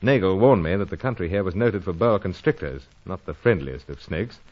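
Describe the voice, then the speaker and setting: An actor playing a hearty, unflappable British secret agent in an old-time radio adventure serial, with a plummy, polite, chummy manner. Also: male voice, low voice, animated, brisk. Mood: grave narrating. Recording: muffled recording with clear engine noise.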